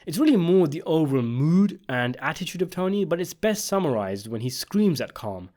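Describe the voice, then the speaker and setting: A man's voice: a little high, very animated, moderate pace, darkish tone. A man narrating a scripted video essay, giving opinionated commentary on a television drama.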